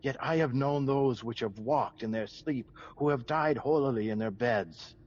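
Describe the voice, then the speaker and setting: A man playing a grave, pious, watchful doctor in a Shakespearean radio drama, speaking with measured, formal concern. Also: mid-range voice, darkish tone, lo-fi sound, natural voice. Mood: troubled.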